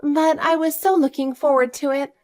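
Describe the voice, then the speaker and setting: Woman voicing a high-voiced, pleading young mother. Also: fairly even intonation, normal speed, darkish tone, faint music behind, close to an everyday voice. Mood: disappointed. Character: pleading, inconsiderate adult mother asking for childcare. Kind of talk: acted family story dialogue.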